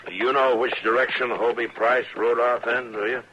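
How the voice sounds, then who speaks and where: An American actor playing a stern, terse frontier marshal in a 1950s western radio drama. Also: male voice, mid-range voice, flat delivery, normal speed, natural voice. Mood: firm, impatient. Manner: terse, commanding, dry. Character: stern, laconic frontier US marshal in his prime. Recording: noisy, dull old radio recording.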